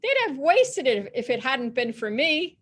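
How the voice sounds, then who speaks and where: A woman's voice: sits high, big pitch swings, moderate pace. A woman playing a bold, defiant Victorian charwoman in a dramatic story reading, speaking with scornful emphasis. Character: bold, defiant, unrepentant Victorian charwoman selling stolen goods.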